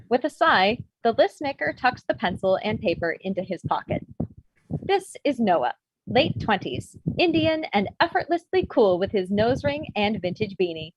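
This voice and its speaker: A woman's voice: mid-range voice, slightly rough, rough recording. A woman reading screenplay stage directions as scripted narration for a table read. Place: indoors, remote virtual table read.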